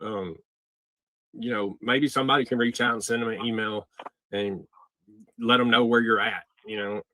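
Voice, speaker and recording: A man's voice: mid-range voice, steady tone, normal speed, pushed and bright, no room sound, low-quality recording. A man hosting a casual livestream, asking probing questions in an informal way. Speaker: host of a livestream taking calls from jail. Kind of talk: casual livestream interview chat.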